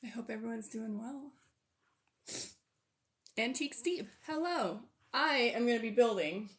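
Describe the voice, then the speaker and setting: A hoarse, tired-sounding woman hosting a casual livestream, rambling and thinking aloud as she sets up. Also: fairly high pitch, lively intonation, a little slow. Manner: rambling, self-deprecating, thinks aloud while sorting pieces. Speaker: livestream host. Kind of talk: casual livestream chat while setting up a build.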